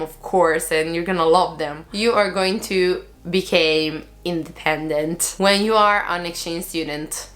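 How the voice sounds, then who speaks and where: A woman's voice: lowish voice, a bit bright. Young Italian woman, casual vlog talk. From Italy. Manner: earnest, loose phrasing with many fillers. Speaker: vlogger.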